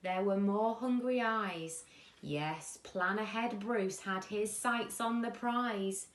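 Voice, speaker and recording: Slow, expressive woman storyteller in echoey room. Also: mid-range voice. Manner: expressive, dramatic pauses and gasps. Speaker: teacher reading a bedtime story. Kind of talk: animated rhyming picture-book storytelling for children.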